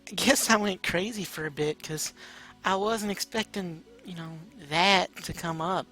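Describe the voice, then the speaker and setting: Man putting on a bashful, higher-pitched small-town baker gal. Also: measured pace, sharp tone, soft background music. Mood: embarrassed, hesitant. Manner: bashful, hesitant, folksy. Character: shy, flustered small-town female furry baker.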